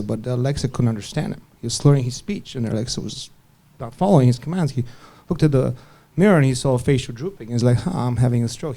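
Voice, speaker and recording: Middle-aged male physician panelist, gravelly dark tone, halting delivery. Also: medium pitch. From Bulgaria. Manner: halting, many restarts and fillers. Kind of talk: panel discussion storytelling.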